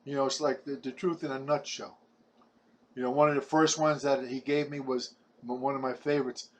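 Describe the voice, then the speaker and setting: Older man, Brooklyn accent, rambling testimony, repeats words, stop-start, middle pitch. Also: level tone. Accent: New York. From Brooklyn, New York. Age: 70s. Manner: halting, repeats words, chatty and preachy. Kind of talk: rambling personal testimony to camera.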